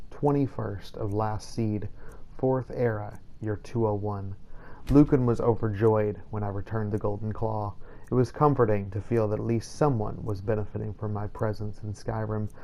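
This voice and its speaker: Male narrator, gravelly and dark-toned, solemn journal reading for a fantasy game playthrough. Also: lowish voice, low-quality recording. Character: weary, self-doubting Redguard vampire hunter reading his journal. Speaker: host of a role-play game playthrough. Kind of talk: scripted in-character journal narration.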